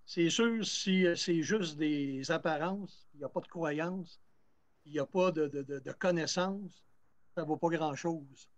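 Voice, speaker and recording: Host with a dry, close sound. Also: male voice, on the high side, no room sound. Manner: halting, stumbles over words. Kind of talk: responding to a comment in a discussion.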